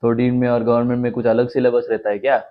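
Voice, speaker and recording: A cheerful older brother, played by a man ribs his younger sibling in a brotherly way. He talks very fast with an easy, teasing manner. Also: mid-range voice, level tone, narrow, tinny audio, natural voice.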